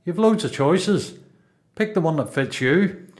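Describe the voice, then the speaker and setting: A man with a Northern Irish accent acting a reflective, self-controlled prisoner in a radio play, speaking in a measured way. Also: fairly high pitch, expressive, darkish tone. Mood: challenging.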